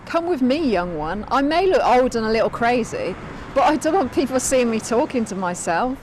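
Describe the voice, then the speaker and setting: A woman's voice: a little high, sing-song, moderate pace, a little mellow, slight background noise, rough recording. A woman playing a soothing old spirit medium. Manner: soothing, motherly. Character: older female medium who sees ghosts.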